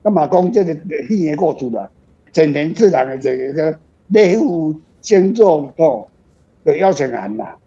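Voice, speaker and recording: A man's voice: on the high side, animated, moderate pace, mellow, husky. An older man with a Taiwanese accent, talking casually and reflectively as a guest author, in a rambling, frank, self-deprecating way. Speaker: author, guest on a radio show. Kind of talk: casual reflective book talk. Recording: dull lo-fi recording.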